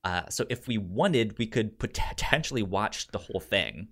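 A man with a pronounced stutter, speaking haltingly and casually as a podcast co-host pitching shows. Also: animated, fairly bright tone. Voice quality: pronounced stutter with repeated sounds and syllables.

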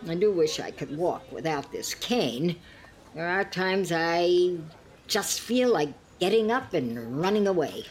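A woman performs an elderly lady in a radio-play style, genteel and passive-aggressive. Her delivery is slow and very expressive, on the lower side for a woman. She sighs and needles politely, with some noise behind her. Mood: sweetly barbed. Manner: prim, sighing, pointedly polite barbs. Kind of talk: scripted two-hander audio comedy-drama.

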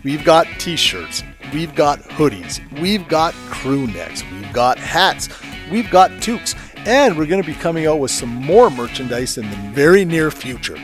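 A man's voice: a little high, sing-song, measured pace, over music. A Canadian man in his fifties, speaking casually and conversationally as a podcast host. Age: 50s. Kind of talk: casual interview chat.

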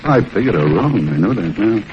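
A shrewd gangster with a deep voice and terse, slangy talk. He is guarded and menacing, in 1940s radio style. The recording is muffled, with clear noise. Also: very animated, normal speed. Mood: pressing, uneasy. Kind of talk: 1940s radio crime drama acting.

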